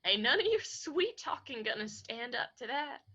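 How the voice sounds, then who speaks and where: A woman's voice: fairly high pitch, sing-song, moderate pace, sharp tone, muffled recording, exaggerated voice. An actress in a radio drama playing a wounded, bitter young woman with an Appalachian accent, speaking with anguished, folksy emphasis. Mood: angry despising. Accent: Appalachian American.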